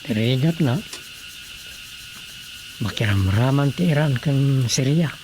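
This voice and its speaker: An actor with an Ilocano Filipino accent playing a married writer who muses aloud to himself in a radio drama. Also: male voice, mid-range voice, animated, takes it slowly, background noise. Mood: musing, concerned. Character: married man, a writer musing on world troubles.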